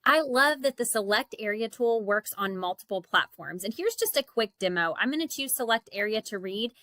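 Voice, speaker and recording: A woman demonstrates software in a conversational tutorial voice-over. Her voice is fairly high and very bright, and the sound is dry with no room echo. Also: expressive, normal speed. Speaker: product demonstrator.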